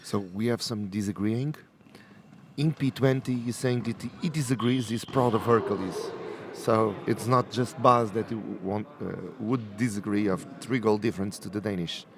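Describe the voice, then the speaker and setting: A man's voice: lowish voice, moderate pace, slight background noise. A man whose first language is not English, chatting as co-commentator at a match, casual and self-deprecating with frequent restarts. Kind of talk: casual sports commentary chat.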